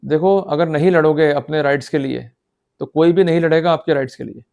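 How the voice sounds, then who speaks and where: A man's voice: a little high, steady tone, a little fast, gravelly, a little mellow. A man lecturing in an explanatory style, emphatic and exhortative, often checking that his listeners are following. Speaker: law lecturer. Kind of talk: explanatory lecture mixing Hindi with English legal terms.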